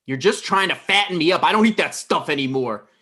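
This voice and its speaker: A man's voice: a little high, animated, fairly quick, pushed and bright. A man in his thirties acting an irritable, sarcastic, paranoid character in a table read over a video call. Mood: argumentative.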